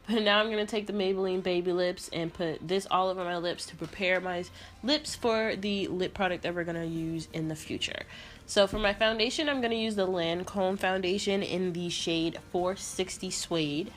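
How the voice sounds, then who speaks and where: A young woman vlogger with a raspy voice and a sore throat, chatting casually through a makeup tutorial. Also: lowish voice. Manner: chatty, rambling, relaxed. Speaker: beauty vlogger.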